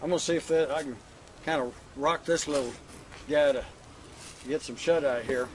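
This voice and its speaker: A folksy frontier cowboy voiced by a man talks in a homespun drawl. His voice sits a little high for a man. A faint hiss runs underneath it. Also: moderate pace, close to an everyday voice, rough recording. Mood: tender. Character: folksy frontier cowboy, new father, flustered. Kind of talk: one-man stage monologue.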